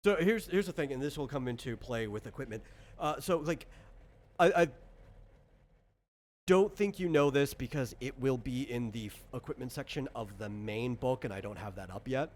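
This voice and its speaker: A man's voice: mid-range voice, lively intonation, moderate pace, darkish tone. A man explaining things in casual chat, in a rambling, hesitant way, as a game designer running character creation.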